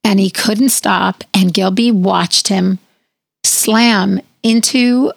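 A middle-aged woman with a raspy, hoarse voice, co-hosting a podcast and telling a long story in a chatty way. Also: mid-range voice, fairly even intonation, slow, no room sound, clean studio sound. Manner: chatty storyteller, fillers like "like" and "you know". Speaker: podcast co-host. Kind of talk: casual chat.

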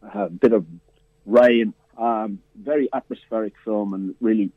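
A man's voice: fairly low pitch, steady tone, unhurried, dry recording. A man who programs a film festival talks about films in a radio interview, stopping and restarting often with many fillers. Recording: tinny, dry phone line.

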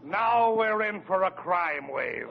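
A man's voice: high-pitched, lively intonation, takes it slowly, gravelly, exaggerated voice. An actor playing an irate middle-aged father in 1940s radio comedy, blustering, scolding and threatening. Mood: scornful. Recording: dull, noisy old radio recording.

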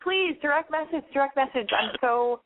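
A woman's voice: sits high, expressive, moderate pace, dry recording, phone-quality sound. A woman hosting a call-in advice show, giving chatty, emphatic big-sister advice. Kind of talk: casual advice call-in chat.

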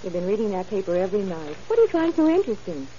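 An actress playing a nagging, exasperated wife in a vintage radio crime drama. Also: female voice, medium pitch, big pitch swings, rapid-fire, mellow, slight background noise, close to an everyday voice. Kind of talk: 1930s-40s crime radio drama acting. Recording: muffled old radio recording.

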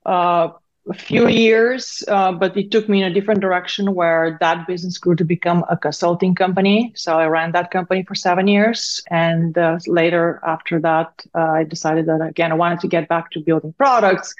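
A woman's voice: fairly low pitch, fairly even intonation, normal speed, highs cut off. A woman in her fifties from Bulgaria, a native Bulgarian speaker, answering conversationally as an interview guest. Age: 50s. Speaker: interview guest, tech founder and CEO.

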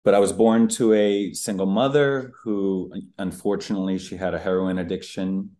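A man's voice: deep voice, steady tone, normal speed, dark tone. A man in his thirties from the Northeastern United States, a public speaker talking candidly as a guest about his life in a casual interview. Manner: candid, conversational, with fillers.

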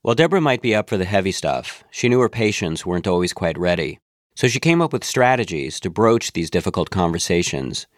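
A man narrating a podcast from a script in a brisk, wry manner. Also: low voice, very animated, no echo, studio quality.

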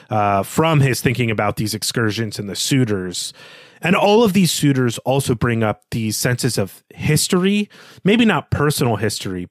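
A man's voice: medium pitch, dry recording. A man with a mid-range voice leads a literary discussion as a podcast co-host. He is organized and explanatory, laying out points in order. The recording is dry, without audible room.

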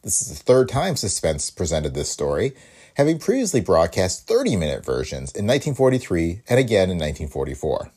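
Male podcast host, husky, very lively intonation, scripted read. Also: deep voice, brisk.

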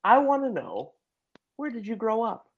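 A man's voice: very high voice, wildly swooping pitch, normal speed, vocal fry, husky. Male podcast host, upbeat, friendly, muffled lo-fi audio. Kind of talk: casual interview hosting. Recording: dull lo-fi audio with faint music.

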